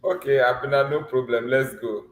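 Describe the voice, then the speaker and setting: A man's voice: medium pitch, steady tone, fairly quick, a little hiss or hum. A man coaching a student for a mock visa interview over a video call, teasing and laughing often but encouraging. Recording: echoey room with faint ambience.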